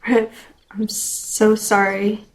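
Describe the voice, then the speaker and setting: A woman playing a loyal, guilt-ridden young girl in an audio drama, soft and sincere. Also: medium pitch, little pitch movement, drawn-out words, low-quality recording. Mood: remorseful.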